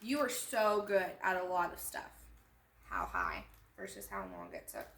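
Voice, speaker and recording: Animated, bright-voiced woman reading a storybook aloud. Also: lowish voice, a little slow, a little hiss or hum. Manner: animated, acting out lines and pointing out details. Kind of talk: expressive picture-book read-aloud with explanatory asides. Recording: echoey room sound.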